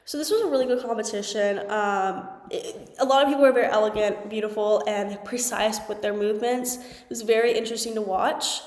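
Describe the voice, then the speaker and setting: A woman's voice: medium pitch, fairly even intonation, fairly quick, a little mellow. A young woman hosting a sports podcast, stuffy from a cold, chatting casually with lots of "like" and "um". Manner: chatty, frequent "like" and "um" fillers. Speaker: podcast host. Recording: echoey room with long reverb.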